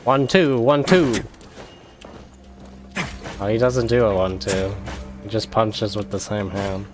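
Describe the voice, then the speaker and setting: A man streams a video game with casual commentary. His voice is on the low side and very unhurried, idly rambling and repeating words, with music clearly behind it.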